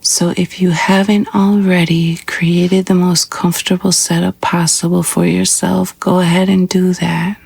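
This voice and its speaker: A woman with a soft, breathy, husky voice guiding a scripted sleep meditation in a slow, soothing, hushed manner. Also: fairly low pitch, level tone, no room sound. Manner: slow, soothing, hushed delivery with long pauses. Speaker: yoga nidra meditation guide.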